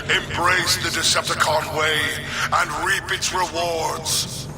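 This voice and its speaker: A tyrannical game-villain robot. He declaims very deliberately, pompous and threatening, like a ruler addressing subjects, over loud music. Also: on the high side, level tone. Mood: imperious, menacing. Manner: pompous, menacing, oratorical. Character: tyrannical, grandiose robot warlord, adult male. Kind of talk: video game villain speech.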